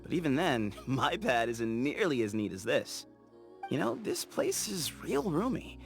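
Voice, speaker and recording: An actor voicing an easygoing, playful young explorer in a game, chatty and teasing, laughing easily. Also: male voice, medium pitch, very animated, measured pace, gravelly, soft background music. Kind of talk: game character voice lines.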